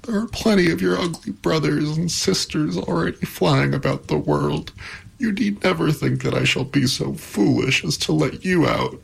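A young storybook girl, impulsive and earnest, acted by a man in a raised voice. Her delivery is emotional and swoops freely, with a slightly husky edge. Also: medium pitch, very animated, unhurried, darkish tone, pitched above the performer's own voice, heavily acted, low-quality recording. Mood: tearful, indignant.

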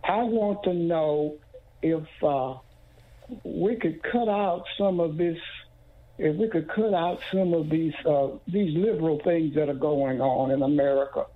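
A man's voice: on the high side, a little slow. A man in his eighties calling in to a TV program, rambling and repeating himself. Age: 80s. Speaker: caller. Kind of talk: phone call-in to a TV program. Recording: tinny phone line.

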